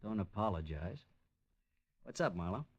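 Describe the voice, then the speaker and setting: Cocky, evasive newshound, lowish voice, curt slangy 1940s radio crime style. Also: male voice, big pitch swings, normal speed, breathy edge. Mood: guarded. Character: brusque, slippery, cocky male newspaper reporter. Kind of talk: 1940s radio drama acting.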